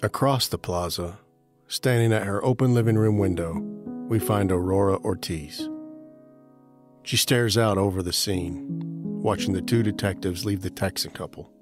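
A man's voice: fairly low pitch, normal speed. A man narrating an audio drama from a script, describing scenes. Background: instrumental music.

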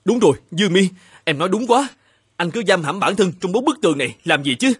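A man voicing an earnest, troubled young male painter and devoted husband in an animated fairy tale. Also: high-pitched, a little fast, husky, dry recording, clean studio sound. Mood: inspired.

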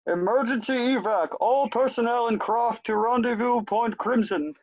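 A man's voice: very high voice, moderate pace, no room sound. A man reading an urgent military technician calling out alerts in a script table read, on a dull lo-fi call. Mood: urgent alarm.

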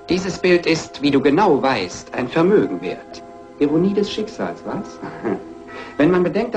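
An actor playing a greedy, coldly accusing nephew in a German radio crime drama, threatening and sardonic. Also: male voice, mid-range voice, lightly acted.

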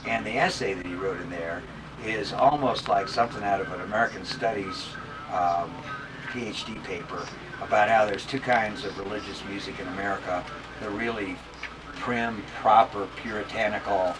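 A middle-aged man explaining something informally in a halting, rambling way, picked up by a camera a little way off. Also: lowish voice, noisy background. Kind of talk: casual chat, explaining. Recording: distant camera mic.

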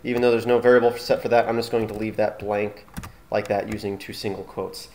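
A man hosting an informal screencast tutorial, talking haltingly as he thinks aloud through each step. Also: on the low side, flat delivery, a little mellow, a little hiss or hum. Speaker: tutorial host.

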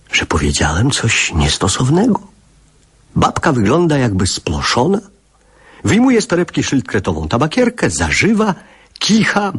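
A man looking back on childhood in a radio play. His voice is very husky and fairly low, with expressive, measured literary phrasing. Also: full character voice. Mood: reflective. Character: reflective adult man recalling his childhood. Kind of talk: scripted radio drama narration.

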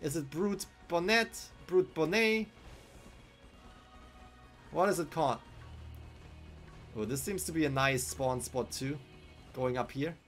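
A man's voice: on the high side, slow, long pauses, soft background music. A young man with a German accent casually commenting live on his game while answering chat, speaking into a headset in a small room. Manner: chatty, thinking aloud, answers chat. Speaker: streamer.

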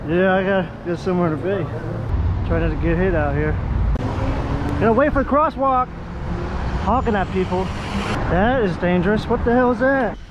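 A man talks casually as he narrates his workday as a one-man contractor. His voice sits somewhat high for a man, and he keeps his commentary loose and offhand. Loud traffic and street noise run under everything he says. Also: moderate pace, pauses often, dull audio.

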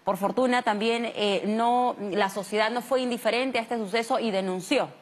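A woman's voice: fairly high pitch, fairly even intonation, moderate pace. A woman in her thirties from Peru, a news anchor asking questions in a live studio interview, earnest in tone.

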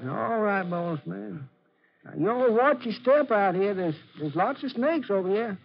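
A man's voice: sits high, animated, measured pace, creaky, slight background noise, exaggerated voice. A man playing a fearful, folksy rural farmhand with an exaggerated Southern US drawl in a 1940s radio drama. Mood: frightened. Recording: muffled vintage radio recording.

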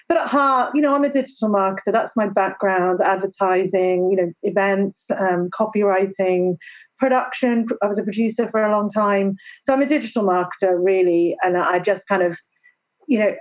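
A woman guest on a podcast, chatty and self-deprecating, answering with lively humor and casual fillers. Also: mid-range voice. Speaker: guest, entrepreneur.

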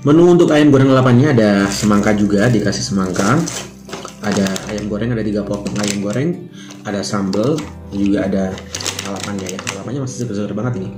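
A man's voice: fairly low pitch, fast talker. A man in his thirties chatting casually as he unboxes and tastes hotel breakfast food, recorded by a camera at the table in a small hotel room. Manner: chatty, describes food while eating. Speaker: food vlogger. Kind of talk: casual food review vlog. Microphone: camera at table distance. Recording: echoey room with music behind. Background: background music.

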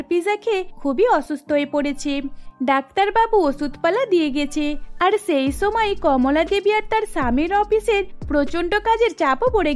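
A woman giving scripted narration for a cartoon story. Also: very high-pitched.

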